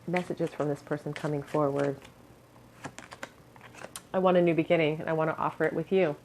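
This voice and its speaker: A woman giving a pick-a-card tarot reading in a musing tone, her voice a little hoarse and scratchy, often clearing her throat. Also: deep voice, fairly even intonation, a little fast, darkish tone. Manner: musing, hedges with "I feel". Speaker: tarot reader.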